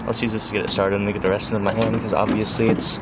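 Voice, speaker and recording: A man's voice: fairly low pitch, little pitch movement, fast. A man chatting casually and easygoingly, with some self-deprecation, while doing hands-on installation work in or beside a car. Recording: loud vehicle and outdoor background noise.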